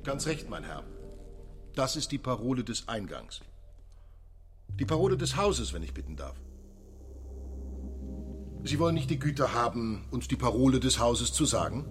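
An actor playing a menacing, mockingly courteous masked master of a secret society in a radio play, icily polite and commanding, with music behind him. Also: male voice, mid-range voice, very animated, moderate pace, pauses often, natural voice. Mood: coldly polite, threatening. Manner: icily polite, commanding, swinging into sharp demands. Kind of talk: German radio play acting.

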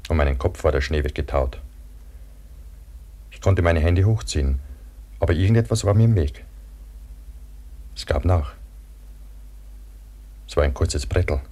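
An actor playing a frightened man buried alive under avalanche snow, halting and brooding, in a German radio play. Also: very deep voice, mellow. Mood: haunted, numb. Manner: halting, brooding inner narration breaking into desperate calls. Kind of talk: German radio play acting, monologue narration and dialogue.

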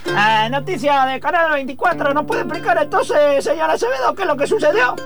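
Confrontational female news reporter acted by a woman, extremely high, swooping voice, radio play. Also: lively intonation, brisk, creaky, music in the background. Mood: inquiring. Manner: pointed, accusing questioning.

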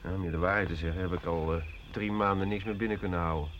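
An actor playing a rough, talkative soldier turned drifter, world-weary and colloquial, in a Dutch radio play. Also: male voice, low voice, fairly even intonation, fast, fairly bright tone. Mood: weary, disgusted. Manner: colloquial, hesitant, chatty storytelling. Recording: dull, noisy old radio recording.

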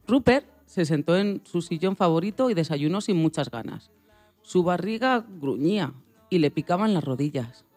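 A narrator telling a scripted children's story with expressive delivery. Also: medium pitch, normal speed, faint music behind.

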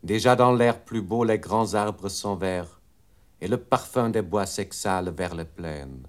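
A man voicing a computer unit in a radio play, declaiming classical verse in a measured way. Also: low voice. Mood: declamatory. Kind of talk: radio play poetry recitation.

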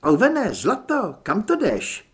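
A man voicing a commanding young woman monster hunter who speaks briskly and urgently in an audiobook. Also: sits high, huge pitch swings, breathy edge, pitched above the performer's own voice, full character voice. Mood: tense. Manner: brisk, giving orders.

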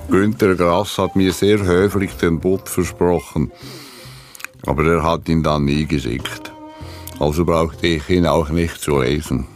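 A man talking in a deliberate, dry way. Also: sits low, fairly even intonation, faint music behind.

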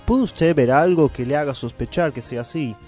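A man's voice: fairly high pitch, brisk, darkish tone, soft background music, natural voice. A man playing a pompous, fussy foreign correspondent in a parody news interview, mock-formal and dropping English words into Spanish.

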